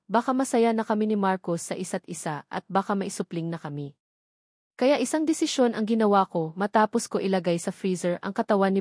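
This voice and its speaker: A woman's voice: mid-range voice, a little mellow, no room sound. Dry, close-up Filipino woman narrating. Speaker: narrator of an audio novel. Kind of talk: scripted first-person story narration.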